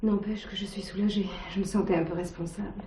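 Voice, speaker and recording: A woman's voice: mid-range voice, steady tone, fast talker, far from the mic, loud music behind the voice, lightly acted. A woman playing a polite, anxious niece in a stage mystery, recorded in a roomy, noisy space. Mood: relieved. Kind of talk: French stage mystery acting.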